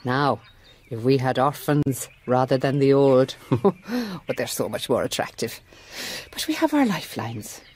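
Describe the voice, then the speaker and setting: An actress with an Irish accent playing a middle-aged, shrewd and gently firm Mother Superior in a radio drama. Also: rumbling low, animated, a little slow, a little hiss or hum. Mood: firm, gentle. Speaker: narrator and character actress. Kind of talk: radio drama narration and acting.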